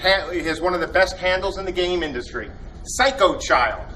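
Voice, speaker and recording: A man's voice: high-pitched, expressive, moderate pace. A man hosting a convention panel, introducing his team in a jokey, enthusiastic, rambling way with lots of fillers. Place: convention hall. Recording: distant mic with loud room noise.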